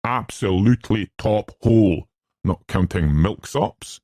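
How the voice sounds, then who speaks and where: A man's voice: on the low side, unhurried, a little mellow, no echo, clean studio sound, pitched above the performer's own voice. A man voicing a smug, boastful young schoolmaster in a storybook, hearty and pleased with himself in clipped, slangy phrases. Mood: boastful, beaming.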